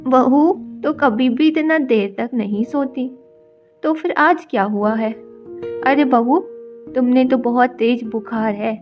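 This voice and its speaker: A woman voicing a kind, caring elderly mother-in-law in an animated story, speaking slowly and soothingly. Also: fairly high pitch, dull audio. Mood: gentle. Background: background music.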